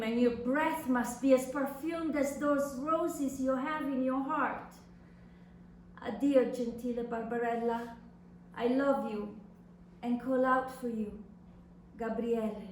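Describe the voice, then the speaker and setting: A woman's voice: high-pitched, steady tone, takes it slowly. A woman reading love letters as a languid, ardent male poet, lyrical and dreamy. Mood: languid longing. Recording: echoey room from far off, faint noise.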